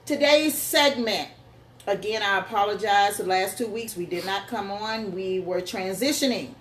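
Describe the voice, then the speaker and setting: A woman's voice: medium pitch, moderate pace. A woman co-hosting a casual chat show with her husband, playful and laughing often. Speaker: co-host. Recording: echoey room with faint noise.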